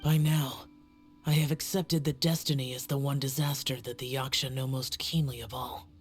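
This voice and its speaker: Woman voicing a deep-voiced, grave young warrior spirit. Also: fairly even intonation, faint music behind. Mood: solemn. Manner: measured, grave and formal. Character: young male guardian spirit warrior, solemn and aloof. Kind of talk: video game voice acting.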